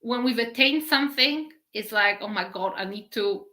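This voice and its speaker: A middle-aged woman gives a spiritual talk on a live stream, slowly drawing out key words. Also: fairly high pitch, pushed and bright. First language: Polish. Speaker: spiritual teacher.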